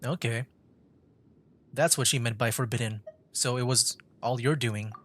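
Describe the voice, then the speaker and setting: Female game streamer, extremely deep register, casual reactions and read-out dialogue choices. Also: a little slow. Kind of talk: casual gameplay commentary, reading dialogue choices aloud.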